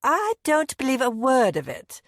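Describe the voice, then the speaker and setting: Woman voicing a trilling, high-pitched posh mother. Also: huge pitch swings, unhurried, no room sound, clean studio sound, full character voice. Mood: brisk, insistent. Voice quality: trilling, crisp. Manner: theatrical, airy, cutting. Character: posh, overbearing wealthy mother, shrill and breezily insistent. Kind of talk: audiobook character dialogue.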